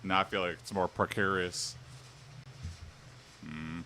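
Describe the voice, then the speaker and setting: Streamer man, mid pitch, bright and forceful tone; rambling casual chat at home, mic set back, faint hiss. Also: fairly even intonation, takes it slowly. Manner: chatty, rambling, answers viewers. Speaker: livestream host. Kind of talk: casual livestream chat while exercising. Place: home room with an exercise bike. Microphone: standing mic a little way off.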